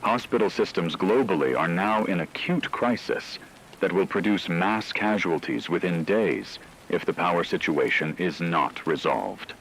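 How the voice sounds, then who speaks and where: A man playing a grave, formal radio news anchor, reading an emergency broadcast in a measured, authoritative way. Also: deep voice, a bit bright, a little hiss or hum, rough recording. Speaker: news announcer.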